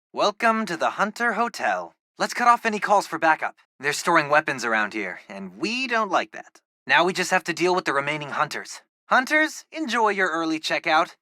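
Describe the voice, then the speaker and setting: Casting: excitable teen tech geek, game sidekick; very animated pitch, wisecracking, dry close recording. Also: male voice, dry recording, full character voice. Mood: playful, brisk. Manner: casual, wisecracking, expressive. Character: nerdy, excitable teenage boy, hero's tech-savvy best friend. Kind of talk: video game voice acting.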